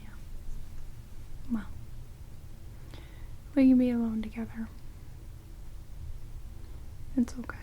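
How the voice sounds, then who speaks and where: A woman playing a sardonic, grumbling vampire in a soft, half-whispered ASMR roleplay, muttering dryly close to the microphone. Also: fairly high pitch, little pitch movement, takes it slowly, long pauses, breathy edge, narrow, tinny audio, full character voice. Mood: wry, softening. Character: sardonic, grumbling female vampire grudgingly tending an intruder. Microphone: close binaural microphones. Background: heavy rain.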